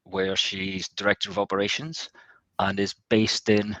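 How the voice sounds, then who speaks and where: A man's voice: on the low side, little pitch movement, moderate pace, sharp tone, dry recording, muffled recording. A man hosting a webinar in a semi-formal, conversational way, introducing guests and putting questions to them. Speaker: webinar host.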